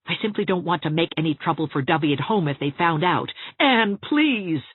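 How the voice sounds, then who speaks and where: A woman's voice: on the low side, lively intonation, moderate pace, breathy edge, no room sound, pitched above the performer's own voice. A woman voicing a desperate young man in love in an audiobook, pleading and coaxing earnestly. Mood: contemptuous, pleading. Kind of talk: audiobook character voice. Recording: thin phone-like narrow-band sound.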